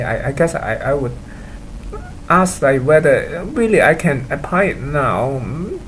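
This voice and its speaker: Man, Cantonese first language, casual vlog rant, hesitant and annoyed, mid pitch, faint noise behind. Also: poor audio. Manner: halting, full of fillers and restarts, complaining. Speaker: vlogger. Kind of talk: casual monologue to camera.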